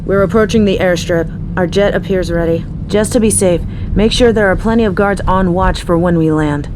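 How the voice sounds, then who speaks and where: Low-pitched, teasing crime boss woman acting. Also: normal speed, noisy background, lightly acted. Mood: serious, firm. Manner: teasing, playful, breathy asides. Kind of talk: audio roleplay acting with ASMR touches.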